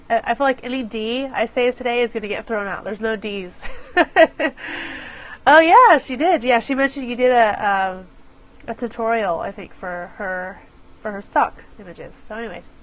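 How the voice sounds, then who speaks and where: A woman with a fairly high voice, congested and stuffed up from a cold. She chats casually into her phone on a live stream, apologizing often and greeting viewers by name. Her delivery is loose and conversational. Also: low-quality recording. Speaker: live stream host for small business owners. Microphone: phone camera.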